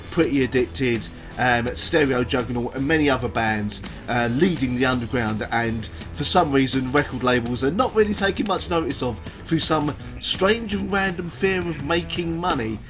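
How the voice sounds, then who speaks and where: A chatty man talking straight to camera in a loose, rambling way, full of ums and restarts. His voice sits in an ordinary middle pitch and sounds a little stuffed up from a cold and hay fever. Faint music plays softly underneath. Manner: chatty, rambling, many ums and self-corrections. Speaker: music reviewer and show host. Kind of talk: casual video review. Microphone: camera video recording.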